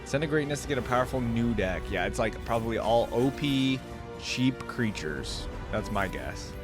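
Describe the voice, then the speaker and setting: A man's voice: mid-range voice, animated, normal speed, over music. A man hosting a reaction video, commenting casually and thinking aloud in informal language full of "like".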